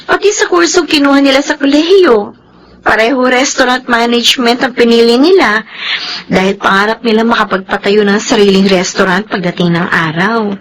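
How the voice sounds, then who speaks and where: An actress playing a patient, caring middle-aged woman in a radio drama, speaking gently, earnestly and respectfully to her elder. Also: on the high side, normal speed, slight background noise, lightly acted, low-quality recording. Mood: reassuring.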